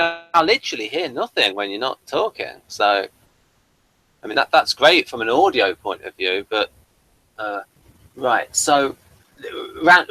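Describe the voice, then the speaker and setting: A man's voice: medium pitch, sharp tone, low-quality recording. A man hosting a review show in casual, unscripted chat, rambling and stop-start as he thinks aloud.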